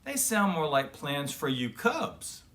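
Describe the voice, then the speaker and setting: A man voicing a gentle, sensible mother bear in a children's storybook, with patient delivery. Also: fairly high pitch, big pitch swings, takes it slowly, heavily acted. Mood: patient, kindly.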